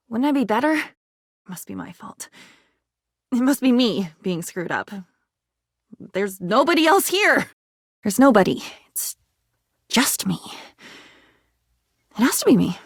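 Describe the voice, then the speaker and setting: A woman's voice: a little high, no echo, studio quality, exaggerated voice. A woman voicing a video game character, an unstable living diary, lonely and frantic, with a theatrical, erratic delivery. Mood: self-blaming, despairing. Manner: theatrical, erratic, swinging between whispers and cries.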